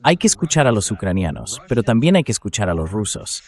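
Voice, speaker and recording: A man's voice: on the low side, big pitch swings, quick pace, a little mellow. A synthetic AI man's voice reading a dubbed translation of explanatory military commentary.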